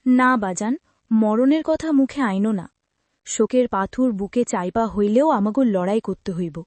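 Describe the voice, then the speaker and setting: A woman's voice: fairly high pitch, normal speed, darkish tone, no room sound, natural voice. A woman playing a devoted, resolute young village daughter in a cartoon, earnest. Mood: resolute, consoling. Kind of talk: cartoon voice acting.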